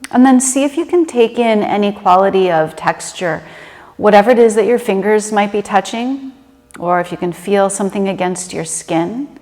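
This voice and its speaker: Woman, meditation guide, medium pitch, gentle conversational instruction, unhurried delivery, lingering reverb. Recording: reverberant room with long echo.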